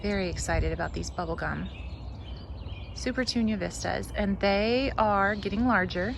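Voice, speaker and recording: A woman's voice: mid-range voice, moderate pace, vocal fry, noisy background. A woman hosting a gardening vlog, chatting casually and musing as she shows her garden. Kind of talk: casual garden tour chat.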